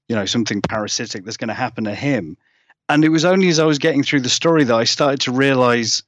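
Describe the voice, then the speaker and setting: A man's voice: medium pitch, dry recording. Male author guest, rambling and self-deprecating, conversational, dry slightly muffled sound. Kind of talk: casual interview chat.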